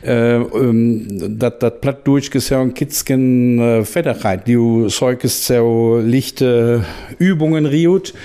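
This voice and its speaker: A male interviewer, asking halting questions with fillers. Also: mid-range voice, mellow, smooth.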